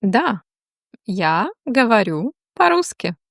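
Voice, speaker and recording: A woman teaching a language, reading slow, deliberate question-and-answer drills with long pauses. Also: mid-range voice, big pitch swings, creaky, dry recording, studio quality.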